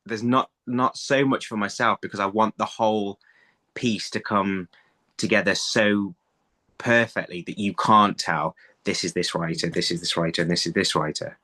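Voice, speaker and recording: A man's voice: on the low side, fairly even intonation, moderate pace, bright, forceful tone. A middle-aged man talking casually as an interview guest on a video call, rephrasing himself mid-thought. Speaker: interview guest, audio drama writer. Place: small room at home, on a video call. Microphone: phone on a video call.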